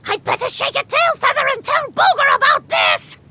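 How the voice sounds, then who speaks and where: An actor playing a small, panicky monster lackey in a video game, frantic and shrieking in a cartoonish way. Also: male voice, very high voice, normal speed, vocal fry, noisy background. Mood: panicked.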